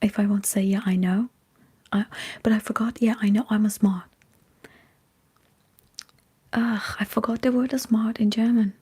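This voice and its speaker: Female ASMR streamer, mellow and heavily whispered, breathy, unhurried and halting, chatting to live viewers. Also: mid-range voice, fairly even intonation. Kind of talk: whispered ASMR livestream chat.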